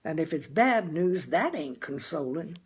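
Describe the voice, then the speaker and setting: A woman voicing a gloomy, plainspoken working-class father in a storybook, with folksy grammar and a deliberate, emphatic delivery. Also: deep voice, sing-song, breathy edge, full character voice. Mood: grumbling. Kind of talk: dramatized audiobook character.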